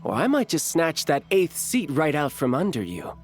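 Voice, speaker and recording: A playful young male fighter in a game, quick, breezy and teasing. His pitch swings widely as he needles others. Faint music plays behind. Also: huge pitch swings. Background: soft game music.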